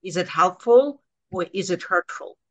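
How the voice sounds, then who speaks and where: A woman speaks with a Russian accent and a naturally low voice. She gives direct, practical advice as a guest in an interview conversation. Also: lively intonation, moderate pace, a bit bright. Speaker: guest, author.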